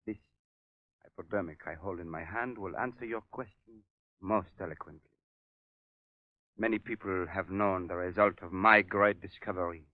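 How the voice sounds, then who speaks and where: An actor playing a proud, embittered evil doctor in a 1930s radio drama, speaking formally and theatrically. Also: male voice, low voice, normal speed, long pauses, no room sound, close to an everyday voice. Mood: bitter, grandiose. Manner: grandiose, formal, theatrical delivery. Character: proud, embittered evil scientist doctor bent on self-destruction. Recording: muffled, tinny 1930s radio recording.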